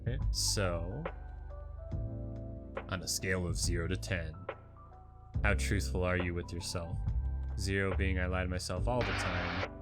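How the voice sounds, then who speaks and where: Male interview host, lowish voice, teasing, music under the talk. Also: flat delivery, a little slow, pauses often. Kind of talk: casual interview questions.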